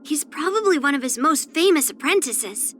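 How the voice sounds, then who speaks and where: A spirited young swordswoman from an anime-style game. Her voice is high, girlish and bright. She talks bluntly and earnestly, proud and a little pouty, with music playing behind her. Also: lively intonation, normal speed. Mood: matter-of-fact, confident. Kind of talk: character voice lines for an anime-style video game.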